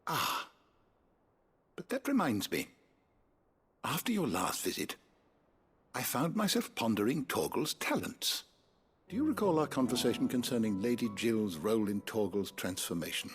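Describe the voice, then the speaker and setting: An actor playing a courteous, scholarly older gentleman in a game, with a British accent and formal, deliberate phrasing. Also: mid-range voice, big pitch swings, breathy edge. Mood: pensive, courteous. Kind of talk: video game cutscene acting. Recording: soft music behind the dialogue.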